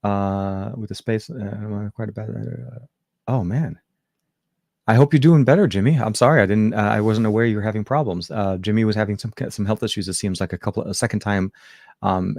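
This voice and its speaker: A man's voice: on the low side, steady tone, brisk, creaky, darkish tone, dry recording, studio quality. A middle-aged man from Lebanon, his first language Arabic, hosting a tech show from home, chatting casually with viewers and answering comments in a rambling, friendly way with many fillers and restarts. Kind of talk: casual livestream chat reading viewer comments.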